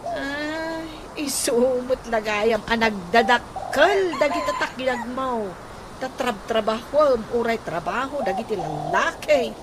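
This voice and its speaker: An actress playing a gossipy, scoffing village housewife in an Ilocano radio comedy drama, with an Ilocano accent. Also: female voice, a little high, expressive, slow. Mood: mocking. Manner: exclamatory, scoffing. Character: gossipy, mocking village housewife, bickering and loud. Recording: noisy track with outdoor ambience.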